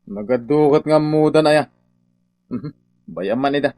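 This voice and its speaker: A man's voice: fairly high pitch, normal speed, a little mellow, faint music behind, natural voice. A man acting a shifty, defensive Filipino contractor boss in a radio comedy drama, with a blustering manner. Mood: easygoing. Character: shifty, defensive contractor boss dodging his workers' wages.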